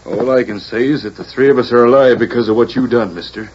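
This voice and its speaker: A gruff frontier rancher played by a man speaks in folksy Western turns of phrase. His voice is husky and indignant. It comes through a muffled, worn 1940s radio drama recording. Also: mid-range voice, quick pace, a little mellow, lightly acted. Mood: grateful, blunt.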